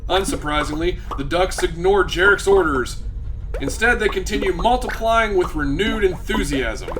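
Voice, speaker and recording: A man's voice: sits high, expressive, moderate pace, music in the background. A man narrating a sci-fi comedy audio drama with dramatic, mock-serious storytelling delivery.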